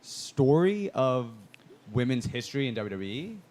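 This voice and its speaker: A man who is a podcast guest, giving measured, thoughtful takes in a casual chat. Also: mid-range voice, very animated, darkish tone, a little hiss or hum. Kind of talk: casual round-table chat.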